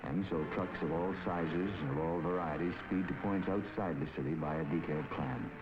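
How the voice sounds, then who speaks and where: A man with an American accent gives grave, deliberate scripted narration in the style of a 1950s documentary. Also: deep voice. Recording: dull 1950s film soundtrack, loud music behind.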